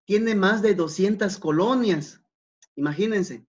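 A man giving an academic talk over slides, unhurried, with many fillers and repeated words. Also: sits high. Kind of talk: conference presentation over slides. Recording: dull, lo-fi online talk.

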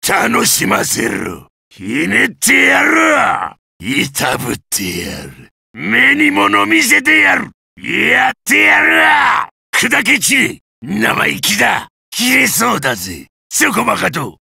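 Casting: sadistic giant golem brute for a fighting game, harsh forceful shouting, coarse menacing taunts. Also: male voice, very high voice, huge pitch swings, slow pace, dry recording, clean studio sound, heavily acted. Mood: menacing taunting, then furious. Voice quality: gravelly, rough roar. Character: brutal, sadistic giant golem warrior with a bullying temper. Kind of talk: video game battle voice lines.

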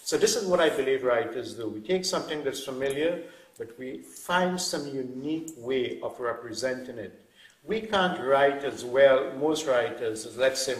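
A man's voice: medium pitch, animated, moderate pace. Man, 50s, reflective guest, hedges and restarts, roomy distant mic. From Trinidad. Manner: thoughtful, hedging with many "sort of" restarts. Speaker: guest writer. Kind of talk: reflective interview talk. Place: wood-panelled indoor room.

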